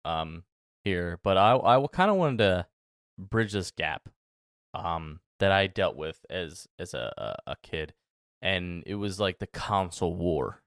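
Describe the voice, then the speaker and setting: A man's voice: deep voice, expressive, takes it slowly, a bit bright, no echo, clean studio sound. A man of thirty hosting a solo podcast, talking casually and rambling with many fillers and restarts. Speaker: podcast host.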